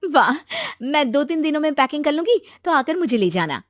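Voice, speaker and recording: A spirited, free-thinking young woman, played by a female narrator, chirpy and outspoken in a cartoon family drama. The voice is very high and swoops in a lively way, with a dry sound. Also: fairly quick, no room sound. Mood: eager.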